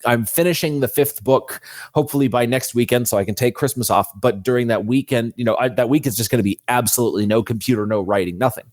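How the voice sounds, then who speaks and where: A man's voice: medium pitch, fast talker, a little mellow, no echo, clean studio sound. A man speaking as a guest author in a conversational interview, explaining things earnestly.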